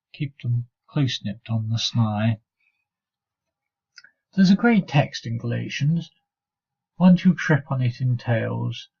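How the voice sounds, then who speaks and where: A spiteful, jealous monk, acted out by a man in a dramatic poetry monologue. The voice is husky and the delivery slow, full of sneering sarcasm and hatred for a fellow brother. The recording is a little dull and lo-fi. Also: mid-range voice, darkish tone. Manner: sneering, sarcastic, growling asides. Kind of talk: dramatic poetry recitation, 19th century monologue.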